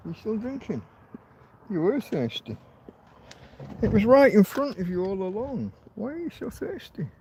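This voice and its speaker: A man's voice: sits high, sing-song, measured pace, long pauses, mellow, slight background noise, poor audio. A man in his fifties hosting a rambling, casual livestream outdoors, talking bluntly and swearing freely. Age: 50s. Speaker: livestream host. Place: outdoors in a field.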